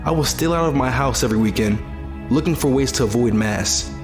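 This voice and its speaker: A man's voice: mid-range voice, fairly quick, natural voice. A man playing a weary, disillusioned young survivor in an audio drama, reflective and plain-spoken. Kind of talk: first-person audio drama narration and dialogue. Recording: loud music behind the voice. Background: music.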